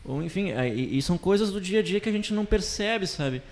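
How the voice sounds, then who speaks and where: A man's voice: high voice, lively intonation, fairly quick, darkish tone. A man talks casually as a podcast co-host. He reasons aloud in a reflective way, often tagging his points to check for agreement. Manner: thinking aloud, seeking agreement with tag questions.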